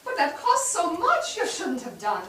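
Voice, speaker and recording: In a very echoey hall, a woman plays a doting, pious mother. Her high, swooping voice is tender and fussing, full of exclamations and questions. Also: wildly swooping pitch, normal speed, a bit bright, heavily acted. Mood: tender relief. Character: devout, relieved, fussing mother of immigrant boy. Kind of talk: staged play reading. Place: theatre stage. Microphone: distant microphone. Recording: very echoey, distant, noisy stage pickup.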